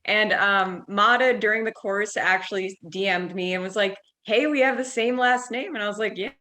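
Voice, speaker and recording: A woman's voice: mid-range voice, moderate pace, bright, forceful tone. A woman guest telling casual anecdotes in an informal way, quoting both sides of conversations. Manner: informal, acts out reported dialogue. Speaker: writing course graduate, guest.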